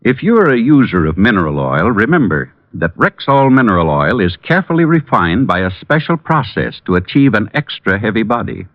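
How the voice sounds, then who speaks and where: Male commercial announcer, lowish and lively, scripted vintage radio pitch, dry but muffled broadcast sound. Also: brisk, hardly any pauses, no room sound.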